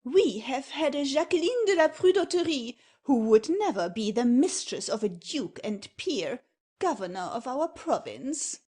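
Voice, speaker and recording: A woman's voice: sits high, big pitch swings, measured pace, warm tone, breathy edge, full character voice. An actress playing a haughty noblewoman and strict mother-in-law in a theatrical comedy audio play, imperious and grandly declamatory. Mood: proud.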